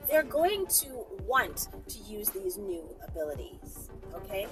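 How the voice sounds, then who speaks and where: An American woman reading a story aloud as a channel host, expressive and sassy, dropping in casual asides. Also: medium pitch, a little slow, over music.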